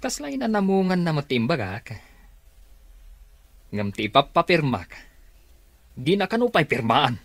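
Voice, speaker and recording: A man's voice: a little high, very animated, measured pace, long pauses, a bit bright, dry recording, full character voice. An actor playing a greedy, scheming male cousin in a radio drama, wheedling and sneering with a Filipino accent. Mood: scornful, scheming.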